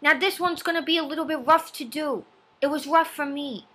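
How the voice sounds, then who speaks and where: Casting: nerdy fifteen-year-old boy vlogger played by a woman, high bright voice, earnest and preachy amateur self-help delivery. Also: steady tone, a little fast. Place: small home room. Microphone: laptop webcam at arm's length.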